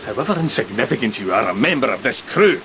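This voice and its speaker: An actor playing a haughty, self-justifying ship's first mate, speaking formally and emphatically in a gothic horror audio drama. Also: male voice, medium pitch, big pitch swings, quick pace. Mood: stern, defensive. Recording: loud storm noise behind.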